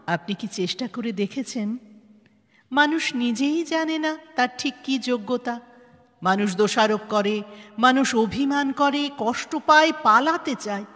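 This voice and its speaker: A woman's voice: sits high, lively intonation, normal speed, fairly bright tone. A woman acting an earnest, resilient NGO head in an audio drama, speaking dramatically and repeating words for emphasis. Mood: earnest, urging. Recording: long hall reverb, music underneath.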